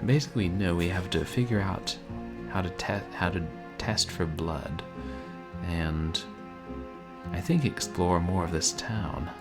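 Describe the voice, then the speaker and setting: A man streaming a video game, chatting casually and soft-spoken with viewers, often chuckling. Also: low-pitched, measured pace. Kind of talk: casual let's-play chat. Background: game music.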